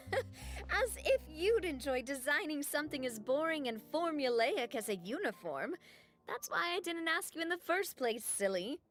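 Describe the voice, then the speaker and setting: A woman's voice: high-pitched, very animated, a little slow, fairly bright tone, exaggerated voice. A woman playing a spirited, protective young female boss with an emphatic, theatrical delivery in an anime-style game. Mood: warm, teasing. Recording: clear music behind the voice. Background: music.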